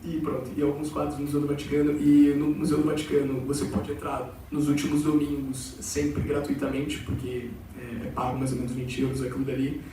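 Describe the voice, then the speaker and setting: A man's voice: on the high side, completely flat delivery, fairly quick, hardly any pauses, mellow, recorded from a distance, a little hiss or hum. Young Brazilian man in an echoey hall. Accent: Brazilian Portuguese, with some Portugal slang. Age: young adult. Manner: chatty, rambling, many fillers. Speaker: exchange student presenter. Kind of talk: informal presentation to students. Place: large auditorium.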